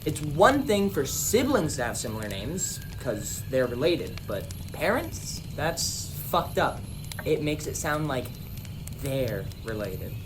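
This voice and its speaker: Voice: mid-range voice, sing-song, slow pace. A performer playing a blunt, exasperated young man in an amateur radio play. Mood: skeptical. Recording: clearly noisy home recording.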